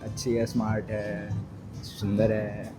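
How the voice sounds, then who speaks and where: A man's voice: on the low side, monotone, racing. A young man with an Indian accent giving short, casual answers in a street interview. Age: young adult. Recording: loud music behind the voice.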